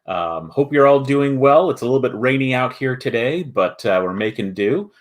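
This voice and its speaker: A man hosting a casual livestream, chatting with viewers in a halting way as he thinks aloud. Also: medium pitch, expressive. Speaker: host of a board game company livestream.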